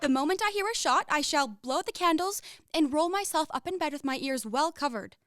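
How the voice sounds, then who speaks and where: Actress playing young lady, romantic, proud, high-pitched, theatrical, radio play, dry sound. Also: lively intonation, brisk, a bit bright, dry recording. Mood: girlish, eager. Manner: theatrical, lofty. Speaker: actor in a student radio play.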